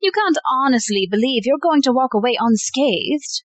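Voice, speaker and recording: A woman's voice: sits high, expressive, moderate pace, dry recording. A woman playing a poised, unflappable society lady in an audio play, genteel and wry. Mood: composed, diplomatic.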